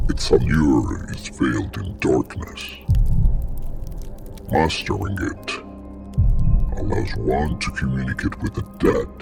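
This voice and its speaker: A man playing a solemn ancient necromancer gatekeeper in an audio drama, gravelly, speaking in portentous, archaic formal phrases. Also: extremely low pitch, exaggerated voice. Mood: solemn, ominous.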